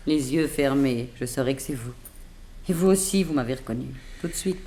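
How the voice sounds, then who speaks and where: A woman's voice: very deep voice, a little fast, mellow. An actress playing a guarded, defiant undercover woman posing as a housemaid in a 1960s French radio drama, terse and intense. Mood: hushed devotion.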